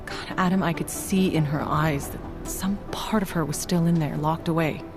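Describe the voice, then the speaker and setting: A soft-spoken therapist with a wry edge, shaken but resilient. Her voice sits fairly low in a video game cutscene, with loud music behind it. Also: fairly even intonation, normal speed. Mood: haunted.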